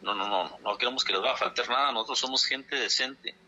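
An indignant man presses his demands over a telephone line, hammering the same points again and again. His voice is husky but strikingly bright and sharp, with a forceful edge. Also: medium pitch, fast talker. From Chihuahua, Mexico. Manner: indignant, insistent, repeats for emphasis. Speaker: dairy farmers' leader. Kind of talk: phone interview statement. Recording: phone line.

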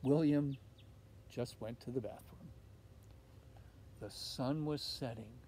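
An older man reading a picture book aloud outdoors in an expressive way, putting questions to young listeners. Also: mid-range voice, slow. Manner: expressive, asks tag questions. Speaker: storybook reader. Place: outdoors in a leafy fenced yard. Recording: outdoor recording with faint ambient noise.

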